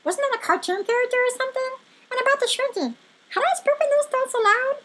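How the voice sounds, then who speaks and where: A woman voicing an elderly male stuffed rabbit, a chatty, excitable storybook adventurer who tells his tale with exclamations. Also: very high voice, fast, voice pushed up. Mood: excited, bewildered.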